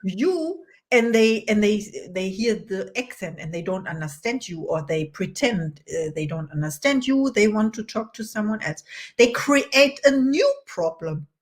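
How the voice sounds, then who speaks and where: A woman hosts an interview in English, a language that is not her first. Her pitch sits in an everyday middle range, and she talks in a thoughtful way, searching for her words as she goes. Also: expressive, a little slow. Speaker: interview host. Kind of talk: conversational interview.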